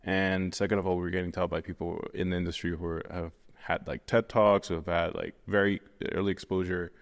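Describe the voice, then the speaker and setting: A man's voice: low-pitched, level tone, fairly quick, in a large hall. A young man from Venezuela, a guest in a casual interview, rambling with many fillers and restarts. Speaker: guest, 3D artist.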